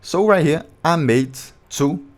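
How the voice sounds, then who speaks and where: A man with a low voice, a music producer walking through a beatmaking tutorial step by step in slightly non-native English. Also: slow pace. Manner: plain step-by-step explaining, non-native grammar. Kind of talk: casual screen-recorded tutorial. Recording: beat music playing behind the voice.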